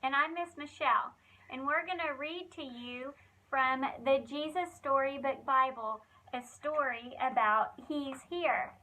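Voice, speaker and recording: A woman with a high, bright voice reads a storybook aloud for children. She tells it expressively, leaning on key phrases with dramatic emphasis, like a children's ministry reader. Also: measured pace, low-quality recording.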